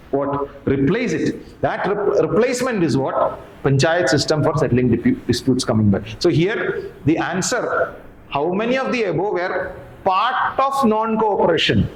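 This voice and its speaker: An Indian-accented man lecturing as an exam coaching teacher, emphatic, repeating phrases and asking questions that he answers himself. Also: sits high. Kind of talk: explanatory lecture to camera. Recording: echoey room with background noise.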